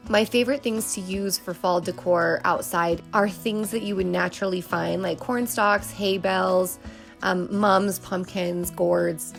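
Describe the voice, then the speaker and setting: A woman's voice: medium pitch, creaky. A woman in her thirties, sick and losing her voice, chatting in a nasal tone as she narrates a home decorating vlog. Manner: chatty and upbeat, with fillers like "um" and "like". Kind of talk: casual voice-over narration.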